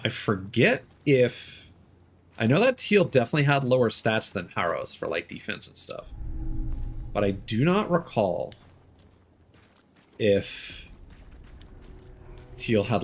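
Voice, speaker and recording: Man, game streamer, casual commentary, thinking aloud, lowish voice. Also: sing-song, a little slow, long pauses, slight background noise. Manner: chatty, thinks aloud, with fillers and small laughs. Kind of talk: casual live gameplay commentary.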